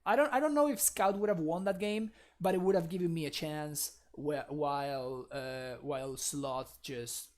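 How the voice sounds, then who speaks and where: A man's voice: a little high, normal speed, few pauses. A young man, a native Spanish speaker with a Spanish accent, chatting casually with viewers while streaming a card game, often laughing. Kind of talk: casual live-stream commentary.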